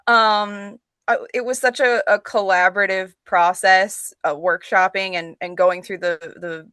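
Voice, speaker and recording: A woman speaking as an actress guest in a casual interview, with an animated, emphatic manner. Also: medium pitch, unhurried, clean tone, dry recording, clean studio sound.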